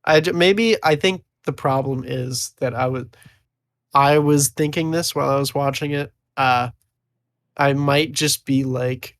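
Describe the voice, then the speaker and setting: Stuffy-nosed young man with a gravelly voice. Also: measured pace, no echo. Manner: hesitant, hedging, low-key. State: stuffy, sniffly from allergies. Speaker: podcast co-host. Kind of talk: casual film review chat.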